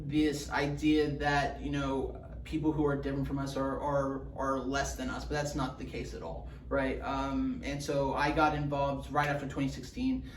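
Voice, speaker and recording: A man's voice: mid-range voice, monotone, normal speed, recorded from a distance. A young man from Houston, a campaign manager, answering interview questions in an easygoing way with many fillers. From Houston, Texas. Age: young adult. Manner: easygoing, many fillers, chuckles when talking about his school. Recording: roomy sound, away from the mic, faint hum.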